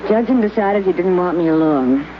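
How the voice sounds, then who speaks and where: An actress playing a sharp-tongued, world-weary woman. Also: lowish voice, lively intonation, moderate pace, a little hiss or hum, lightly acted. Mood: bitter. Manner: teasing, mocking, then pleading. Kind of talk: 1950s radio drama acting. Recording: dull lo-fi old radio recording.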